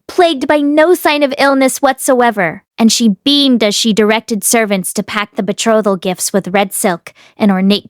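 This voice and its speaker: High-voiced woman reading a story, dry sound. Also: no room sound. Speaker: audiobook reader. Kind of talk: dramatic scripted storytelling.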